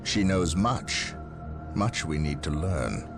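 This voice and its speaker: Game role: devout, suspicious space marine commander; extremely low voice, varied delivery, formal authority, score underneath. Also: male voice, expressive, slow, vocal fry, full character voice. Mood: demanding, suspicious. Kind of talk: dramatic video game acting. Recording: music behind the voice.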